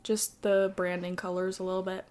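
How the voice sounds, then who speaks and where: A woman co-hosting a chatty podcast, with a quiet, soft voice, rambling and giggling, saying "like" a lot. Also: on the low side, level tone, normal speed, noisy background. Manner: giggly, rambling, says "like" often. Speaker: podcast co-host. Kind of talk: casual chat.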